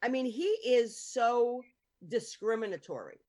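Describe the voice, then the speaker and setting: A woman's voice: on the high side, lively intonation, unhurried, mellow. A woman hosting a casual conversation, speaking earnestly and asking questions. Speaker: host.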